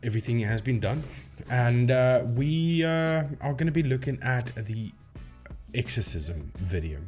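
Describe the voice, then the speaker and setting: A man hosts an informal explainer video in a mid-pitched voice. He talks hesitantly, often doubling back to reword a point, with faint music underneath. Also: animated, measured pace, darkish tone. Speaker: host of a paranormal debunking video channel.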